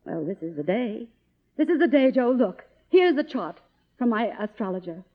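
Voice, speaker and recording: An actress playing an imperious, vain, middle-aged faded film star in melodramatic old radio drama style. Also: female voice, a little high, big pitch swings, normal speed. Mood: elated, grand. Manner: grandiose, melodramatic, commanding. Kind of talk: 1950s radio drama acting. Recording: dull old radio recording, reverberant.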